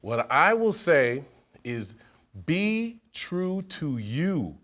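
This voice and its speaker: A man's voice: fairly high pitch, sing-song, very slow, a bit bright, slight background noise. A young man, a newer panelist, giving modest and direct advice. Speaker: real estate panelist.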